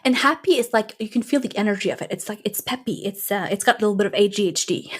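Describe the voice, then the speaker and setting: A woman money coach, a native Norwegian speaker, talking as a guest in a casual podcast interview, lively and playful, often acting out little scenes. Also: medium pitch, quick pace, slightly rough.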